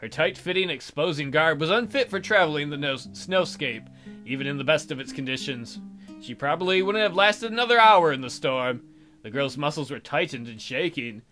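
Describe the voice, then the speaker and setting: A man with a fairly high, bright, forceful voice reads a visual novel aloud. He narrates dramatically and drops in joking asides. Faint guitar music plays behind him. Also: lively intonation, moderate pace. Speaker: let's play host.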